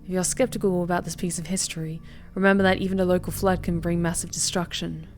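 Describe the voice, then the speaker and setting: A young woman streamer reading a visual novel aloud, with expressive bursts of excited commentary. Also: fairly low pitch, fast talker. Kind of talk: game narration with live reactions.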